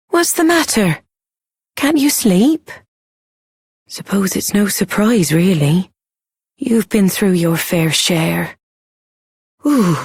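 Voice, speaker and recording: Doting British mum for a game: middle-aged, breathy, very lively intonation. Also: female voice, medium pitch, dry recording, studio quality, heavily acted. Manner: affectionate, motherly, expressive. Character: doting, chirpy middle-aged mother of a young hero. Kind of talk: scripted video game voice acting.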